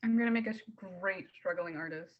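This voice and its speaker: A woman's voice: lowish voice, fast, lo-fi sound, natural voice. A woman reading a wistful, candid, teasing teenage girl in plain-spoken screenplay table read dialogue.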